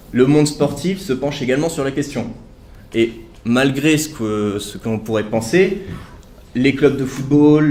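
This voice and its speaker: A man's voice: mid-range voice, darkish tone. Young man giving an academic talk in an echoey room. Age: young adult. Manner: earnest, many fillers and self-corrections. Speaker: student speaker at a study day.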